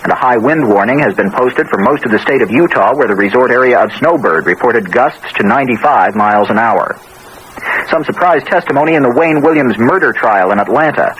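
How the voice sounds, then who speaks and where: A man's voice: mid-range voice, fairly bright tone, rough recording. A man with an American accent reading scripted news as a radio anchor. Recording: old radio broadcast with faint hiss.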